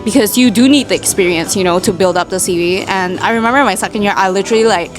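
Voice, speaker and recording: A woman's voice: medium pitch, poor audio. A young woman in her twenties from Indonesia, chatting casually in a Q&A vlog, full of "like"s and asides. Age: 20s. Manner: chatty, many "like"s, quick asides. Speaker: vlogger. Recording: music playing in the background.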